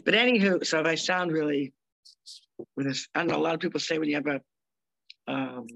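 A woman co-hosting a live talk show over a video call, hoarse and scratchy, chatting in an upbeat, encouraging way. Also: low-pitched, fairly quick, a bit bright. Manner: upbeat, encouraging, rambling asides. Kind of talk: casual motivational chat.

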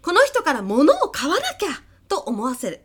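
Woman acting a high-pitched theatrical business-coach hypnotist. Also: huge pitch swings, moderate pace. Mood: condescending, brisk. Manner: teasing, condescending, dramatic incantations. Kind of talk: comedic radio drama acting.

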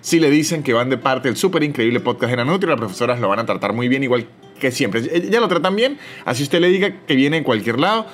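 A man in his thirties from Venezuela with a Venezuelan accent, hosting a casual solo podcast and reading ads in a chatty, animated way. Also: mid-range voice, quick pace, soft background music. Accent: Venezuelan Spanish. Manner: chatty, animated, swings between loud and soft. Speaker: podcast host.

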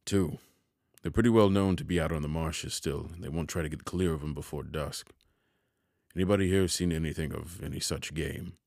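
A hearty soldier sergeant who barks short orders and drinks toasts with gusto, voiced by a man reading a storybook. The voice sits extremely low and rumbling, lifted a touch for the role. It is recorded close with no room sound at all. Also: brisk, gravelly, dry recording. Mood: commanding. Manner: loud, clipped military orders. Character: gruff hearty soldier sergeant, brisk and commanding. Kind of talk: audiobook character voice. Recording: dry close studio sound.

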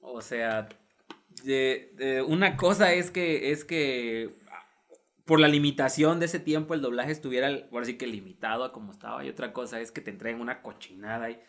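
A man's voice: medium pitch, moderate pace, fairly bright tone. A man from Tabasco with a faint coastal accent, co-hosting a podcast in an emphatic, irreverent casual chat. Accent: Tabasco coastal Mexican, mostly neutralized. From Tabasco, Mexico. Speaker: podcast co-host.

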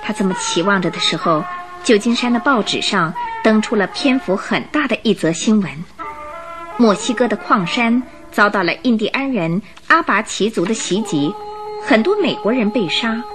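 A woman narrating a radio drama in an expressive, emotive storytelling style from a script. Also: mid-range voice, normal speed. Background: music.

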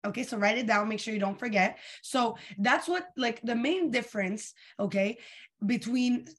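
A young woman leading a live online coaching session, energetic and asking her audience questions, her voice a little tired and worn. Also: fairly high pitch, moderate pace, husky. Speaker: coach leading a weekly training call.

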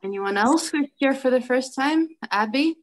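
A woman's voice: high-pitched, lively intonation, moderate pace. New woman co-hosting a video call. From Brooklyn, New York. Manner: friendly, informal, with fillers and restarts. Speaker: co-host.

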